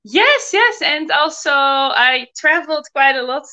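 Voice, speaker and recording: A Dutch woman speaks in a high, bright voice, chatting openly about herself. The sound is a little muffled and dull. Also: animated, unhurried. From Netherlands. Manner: chatty and open, with fillers. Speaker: guest. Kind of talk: interview answers. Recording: slightly muffled audio.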